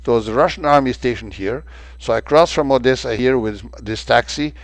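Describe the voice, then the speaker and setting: Older man giving a halting slide talk. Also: mid-range voice, dry recording. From Romania. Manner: halting, many filler sounds and restarts. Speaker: presenter of a travel talk. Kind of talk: slide-show lecture.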